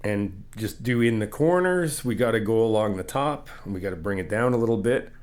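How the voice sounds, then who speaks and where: A man doing an ASMR friend roleplay, talking to the listener in a playful, encouraging way, laughing often and explaining things calmly step by step. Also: lowish voice, normal speed.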